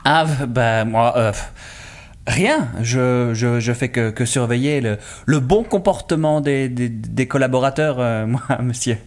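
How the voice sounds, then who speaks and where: Man playing nervous supervisor, medium pitch, stammering, sheepish, evasive, audio comedy. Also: unhurried, close to an everyday voice.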